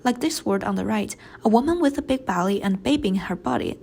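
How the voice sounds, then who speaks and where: A young woman whose first language is Chinese, reading a class presentation in a careful, measured way with light breathy passages. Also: mid-range voice, animated, a little mellow. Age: young adult. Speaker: student presenter. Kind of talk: read class presentation narration.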